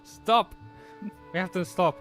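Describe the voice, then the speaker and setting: A man's voice: high-pitched, slow pace, fairly bright tone, faint music behind. A man reading a visual novel aloud and chatting casually as he plays. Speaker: player and narrator of a game playthrough.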